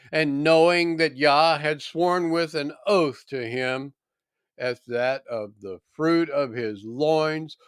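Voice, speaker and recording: A man reading scripture solemnly from a script, with deliberate, dramatic oratorical phrasing. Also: mid-range voice, a bit bright, clean tone, dry recording, studio quality. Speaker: scripture reader.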